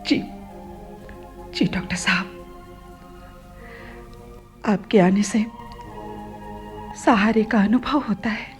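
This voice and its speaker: An actress playing an anguished middle-aged widowed mother in a Hindi radio play, halting and polite in her sorrow. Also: medium pitch, faint music behind. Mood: sorrowful, resigned.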